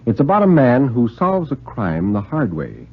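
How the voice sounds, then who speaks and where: A man reads a story aloud in the dramatic manner of 1950s radio. His husky voice moves expressively up and down through deliberate, literary sentences. The recording is old, muffled and thin. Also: mid-range voice, huge pitch swings.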